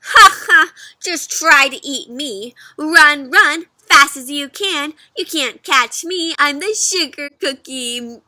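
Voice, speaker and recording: A woman voicing a boastful, easily upset little male sugar cookie in a children's storybook, with childlike, exclamatory delivery and drawn-out words. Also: sits high, sharp tone, no echo, pitched above the performer's own voice, exaggerated voice. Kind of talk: children's storybook read-aloud.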